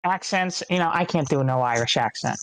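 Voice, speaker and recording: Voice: mid-range voice, a little fast, dry recording, poor audio. A speaker with an American accent giving feedback in casual, rambling chat. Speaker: participant giving script feedback. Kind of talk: casual chat, thinking aloud.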